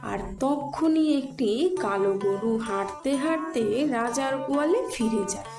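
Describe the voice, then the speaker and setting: A woman's voice: fairly high pitch, lively intonation, moderate pace. A woman reading scripted storybook narration for a cartoon tale. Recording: echoey, clear music behind.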